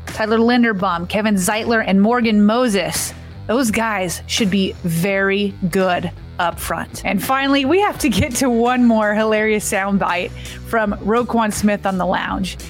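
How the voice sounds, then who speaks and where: A woman co-hosting a sports talk show with a brisk, news-style delivery. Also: medium pitch, soft background music. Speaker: sports podcast co-host.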